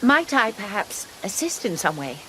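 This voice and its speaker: Cast a woman as a proper, mid-pitched missionary sister, noisy recording. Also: sing-song, normal speed, bright, forceful tone. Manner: formal, polite, slightly flustered. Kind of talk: radio drama acting. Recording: noisy recording with audible hiss.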